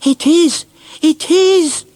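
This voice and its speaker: A man's voice: extremely high pitch, drawn-out words, a little mellow, no echo, pitched above the performer's own voice, full character voice. A man voicing an eager, exclamatory boy in a children's story. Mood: jubilant.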